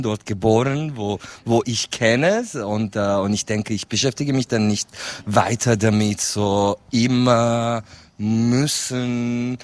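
A Kurdish-born man talks through his answers slowly, pausing on fillers and restarting his sentences. His voice sits at an ordinary middle pitch, and he often laughs between thoughts. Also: no room sound. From Rojhelat, Kurdish region of Iran. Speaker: artist guest. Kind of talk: conversational interview answers.

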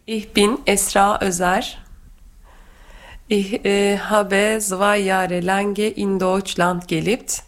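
Female guest teacher from Turkey, Turkish accent, halting and careful non-native speech, unhurried, for personal talk and poetry reading. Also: mid-range voice, level tone.

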